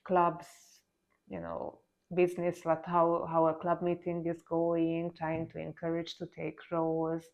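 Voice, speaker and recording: A woman with a Hungarian accent talks through her answers in a halting, thoughtful way, often restarting her sentences. Her voice sits fairly low and has a gravelly fry, and the recording sounds dull and muffled. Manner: thoughtful, halting, with frequent restarts and soft laughs. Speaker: interview guest. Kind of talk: casual interview conversation.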